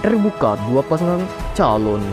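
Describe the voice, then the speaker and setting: A man's voice: medium pitch, sing-song, moderate pace. A man anchoring a television newscast in a formal scripted style. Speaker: news anchor. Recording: music bed behind the voice.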